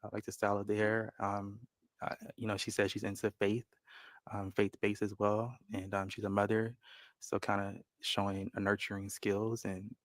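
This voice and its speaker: A man talking casually as a dating show contestant, explaining himself in a measured way. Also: fairly low pitch, completely flat delivery, no echo. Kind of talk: casual conversational interview.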